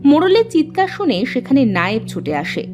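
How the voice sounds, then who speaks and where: A woman narrating a scripted fairy tale for an animated cartoon. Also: high-pitched, quick pace, over music.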